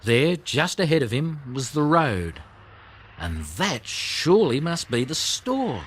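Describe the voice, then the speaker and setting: A man narrating a children's audiobook in an expressive storytelling manner. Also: on the high side, slow, slight background noise.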